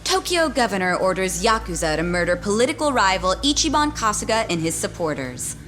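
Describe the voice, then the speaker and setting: A woman's voice: mid-range voice, big pitch swings, fairly quick, fairly bright tone, faint music behind. An actress playing a gleeful, wry scoop-hungry woman in a video game, speaking like she is announcing headlines. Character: gleeful woman savouring a political scandal scoop. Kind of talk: English video game voice acting.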